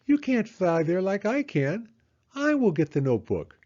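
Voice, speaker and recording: A fussy male owl from a children's storybook. The voice sits far up high and swings dramatically, full of alarm and exclamation. The sound is a little dull. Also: big pitch swings, moderate pace, a little mellow. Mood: dismissive.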